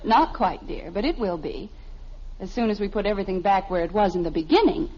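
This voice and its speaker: A woman's voice: mid-range voice, fairly quick, close to an everyday voice. A woman acting a patient, knowing, middle-aged American wife and mother in a methodical, teasing 1950s radio comedy style. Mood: calm, wry. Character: gentle, logical, knowing suburban wife and mother. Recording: muffled, faintly noisy vintage radio recording.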